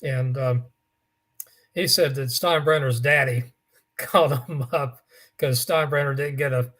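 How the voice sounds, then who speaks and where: A man with a raspy voice, co-hosting a casual sports talk show and telling stories with lots of restarts and fillers. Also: medium pitch, unhurried, a bit bright. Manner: rambling storyteller, many restarts and fillers. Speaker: co-host.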